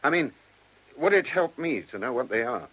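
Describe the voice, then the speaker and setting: Husky, animated British police inspector. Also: male voice, medium pitch, normal speed, rough recording. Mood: insistent. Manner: questioning, polite, insistent. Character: probing, businesslike police chief inspector, polite but insistent. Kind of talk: British radio play acting. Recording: old radio drama recording.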